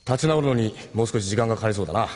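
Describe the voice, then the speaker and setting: An actor playing a protective rebel team captain in a Japanese sci-fi audio drama, with measured, gruff masculine speech. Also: male voice, fairly low pitch, darkish tone, natural voice. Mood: calm.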